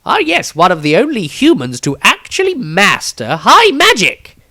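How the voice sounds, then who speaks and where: A man voicing an eager, eccentric wizard engineer in a fantasy story, excitable and slightly hesitant. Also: high voice, normal speed, no room sound, clean studio sound, voice pushed up, full character voice.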